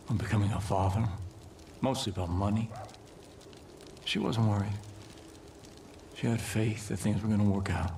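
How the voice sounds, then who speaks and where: Hushed, low-voiced fanatical cult leader. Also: male voice, slight background noise. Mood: wistful. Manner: hushed, halting, preacherly, often dropping to a whisper. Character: soft-spoken cult leader, grieving, fanatically devout. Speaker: villain character. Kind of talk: dramatic game cutscene monologue.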